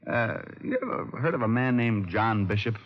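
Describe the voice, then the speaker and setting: An actor playing a shrewd, confident private detective, wry and colloquial, in an old-time radio drama. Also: male voice, mid-range voice, lively intonation, normal speed, close to an everyday voice. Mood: brisk, shrewd. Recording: dull vintage radio recording.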